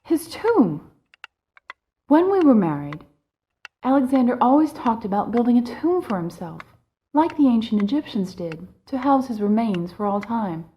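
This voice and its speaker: A woman playing a dignified, grieving middle-aged mother and widow in an audio drama, earnest and quick to reproach. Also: fairly high pitch, big pitch swings, muffled recording. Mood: thoughtful. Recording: music behind the voice.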